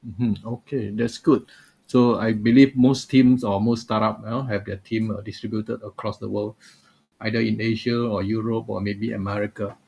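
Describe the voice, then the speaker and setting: A man's voice: fairly low pitch, flat delivery, moderate pace, creaky, warm tone, breathy edge. A man hosting a live webinar over a video call, talking loosely and padding his sentences with "uh" and "you know". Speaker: webinar host.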